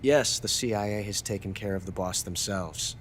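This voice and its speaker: An actor playing a scheming male double agent in a video game, calmly reporting by phone in a measured, conspiratorial way. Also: on the low side, a little hiss or hum, close to an everyday voice. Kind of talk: video game cutscene dialogue. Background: game music score.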